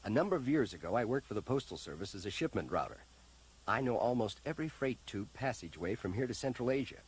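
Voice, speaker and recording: An actor in a science fiction audio drama playing a resolute, practical man leading an escape, brisk and commanding. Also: medium pitch, very animated, breathy edge, poor audio. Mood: calm, explaining.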